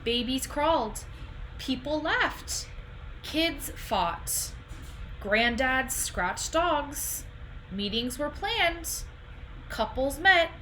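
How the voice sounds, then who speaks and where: A young woman reading a children's picture book aloud in an expressive, deliberate storytelling style, recorded at home on a computer microphone. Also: a little high, slight background noise, poor audio. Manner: animated, deliberate phrasing.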